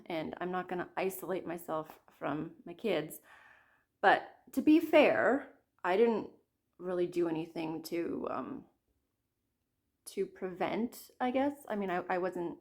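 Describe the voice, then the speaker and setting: A woman in her thirties chatting casually about her cross-stitch projects, her voice a little croaky from a cold, rambling and apologizing often. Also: on the low side, a little mellow. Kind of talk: casual chat to camera.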